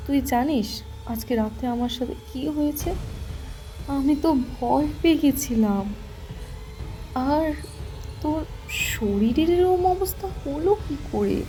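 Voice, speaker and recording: A woman playing an anxious, soft-hearted young woman in an audio drama, with a hesitant, questioning way of talking. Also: sits high, animated, slow pace, long pauses, soft background music. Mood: startled, worried.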